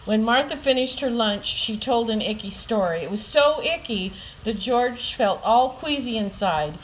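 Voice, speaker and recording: An older woman reading a children's picture book aloud with deliberate, dramatic emphasis for young listeners. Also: on the high side, lively intonation, slight background noise, poor audio. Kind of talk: expressive children's story read-aloud.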